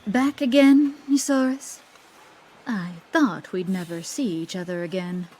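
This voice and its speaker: Stern, commanding fantasy councilor. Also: female voice, medium pitch, steady tone, measured pace, warm tone, breathy edge. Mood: dry, curt. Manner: formal, commanding. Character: stern, authoritative female city councilor in a fantasy world. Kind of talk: English video game cutscene acting.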